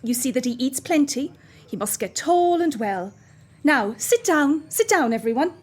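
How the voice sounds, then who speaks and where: A woman playing a bossy, sharp-tongued working-class mother in a radio play. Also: high voice, sing-song, normal speed. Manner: bossy and scornful.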